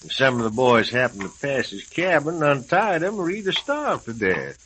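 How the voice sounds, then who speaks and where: An American actor playing a good-humored old prospector in a radio western, drawling in rustic cowboy dialect. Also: male voice, mid-range voice, big pitch swings, normal speed, a bit bright. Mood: grave, emphatic. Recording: hissy, crackly old radio recording.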